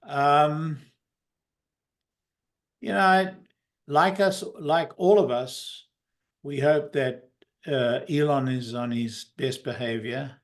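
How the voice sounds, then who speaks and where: A man's voice: on the high side, slow, long pauses. A man giving casual market commentary as a guest, hesitant and hedging, with dry humour.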